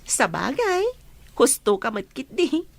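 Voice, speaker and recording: A woman's voice: on the high side, very animated, slow pace, gravelly, no room sound, exaggerated voice. A woman playing a chatty, playful office friend who gossips about a couple, in a radio drama. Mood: gossipy.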